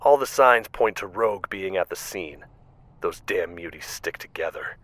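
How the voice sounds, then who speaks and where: A man's voice: sits low, animated, normal speed, a bit bright, no echo. A man playing a hard, hostile government operative in an audio drama. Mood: cold, urgent.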